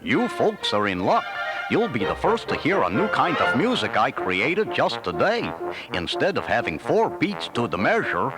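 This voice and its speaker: A man's voice: mid-range voice, wildly swooping pitch. A man voicing a gangly, dim-witted but good-natured cartoon dog with a throaty drawl, in a storybook read-along. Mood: delighted, bumbling. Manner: bumbling, slow, swooping delivery. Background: music.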